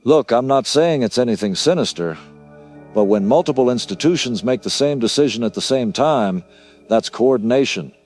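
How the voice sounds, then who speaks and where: A man's voice: mid-range voice, animated, moderate pace, a little mellow, soft background music, natural voice. Suspicious male talk-radio caller played by a man. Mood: suspicious, insistent. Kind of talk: radio drama phone-in acting.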